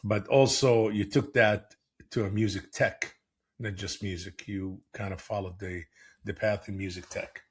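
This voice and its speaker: A man's voice: on the low side, fairly even intonation, a little slow, slightly rough. A man hosting a podcast interview, asking long, rambling questions that he often restarts. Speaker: podcast host.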